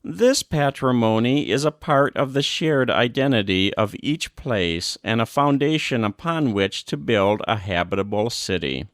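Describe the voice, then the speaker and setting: Man reading aloud with lively, varied pitch. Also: measured pace, few pauses, no echo, clean studio sound. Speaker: audiobook reader. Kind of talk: scripted narration, reading a formal document aloud.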